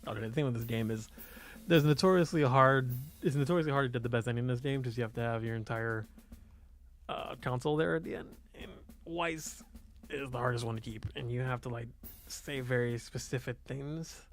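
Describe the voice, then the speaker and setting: Creaky-voiced man muttering over a game. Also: medium pitch, brisk. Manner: casual, swearing, muttering asides. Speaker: let's player reading a visual novel aloud. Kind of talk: casual gameplay commentary. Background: game music.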